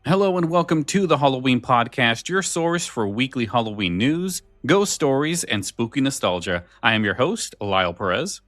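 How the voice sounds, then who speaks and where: A man's voice: medium pitch, expressive, moderate pace, few pauses, fairly bright tone, no room sound, studio quality. A man hosting a podcast in casual chat, often backtracking and repeating phrases as he goes. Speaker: podcast host.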